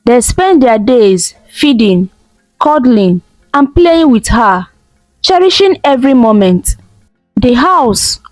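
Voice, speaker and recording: A woman narrating a folktale in a gentle, expressive way. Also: fairly high pitch, no echo, rough recording. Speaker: storyteller. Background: soft music.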